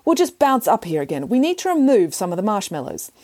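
A woman voicing a bossy, reckless pig nanny who once worked in a circus, speaking briskly and cheerfully. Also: medium pitch, big pitch swings, darkish tone, voice pushed up.

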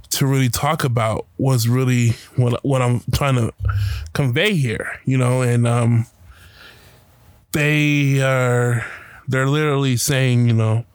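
Halting man recounting a protest. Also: mid-range voice, no echo. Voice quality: loud voice. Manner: halting, many fillers and restarts. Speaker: protester telling his story. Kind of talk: casual spoken storytelling.

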